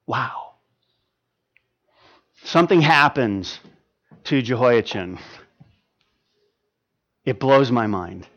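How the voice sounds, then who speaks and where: A man in his fifties preaching a sermon, swinging from loud emphatic stretches to quiet ones, with frequent stammered restarts. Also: mid-range voice, animated, very slow, pauses often, muffled recording. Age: 50s. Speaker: pastor.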